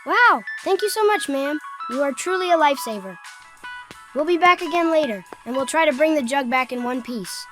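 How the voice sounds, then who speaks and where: Plucky cartoon kid leader woman actor, very high register, earnest and urgent, music playing behind. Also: animated, moderate pace. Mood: urgent, polite. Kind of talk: fan comic dub voice acting.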